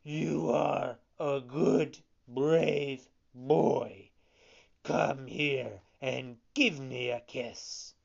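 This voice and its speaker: A narrator voicing an imposing bearded puppet showman, stern but capable of pity, speaking slowly and emphatically. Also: mid-range voice, creaky, muffled recording, full character voice. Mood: softening. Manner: slow, emphatic, commanding.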